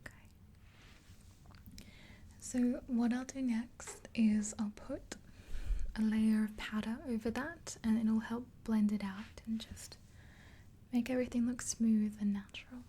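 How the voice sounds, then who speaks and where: A woman in her twenties whispering gently and breathily in an ASMR roleplay, close to the mic. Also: fairly high pitch, fairly even intonation, a little hiss or hum. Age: 20s. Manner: gentle, unhurried. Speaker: ASMR creator playing a makeup artist. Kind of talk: ASMR roleplay, soft rambling chat. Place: small indoor room. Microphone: close mic, face near camera.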